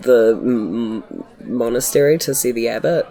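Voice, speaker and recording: A man's voice: medium pitch, normal speed, dark tone. A man with a New Zealand accent chatting casually as a player in a tabletop game. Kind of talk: casual banter.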